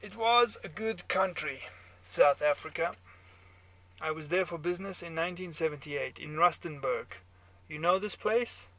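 A man playing a drunken, sneering German man in a bar, with a German accent and halting, taunting questions in a story reading. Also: a little high, pushed and bright, pitched above the performer's own voice. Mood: boastful. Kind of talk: dramatised character dialogue in a story reading.

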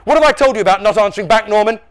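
A man puts on an exasperated father for a children's story. He nags and scolds in a strained, raised pitch that swoops with irritation. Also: expressive, fast talker. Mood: scolding. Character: stressed, exasperated father scolding his teenage son.

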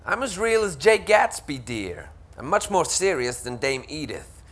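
A man's voice: on the high side, big pitch swings, a little slow, a bit bright, full character voice. A man voicing a camp, witty male literary critic with an arch, theatrical drawl. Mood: teasing.

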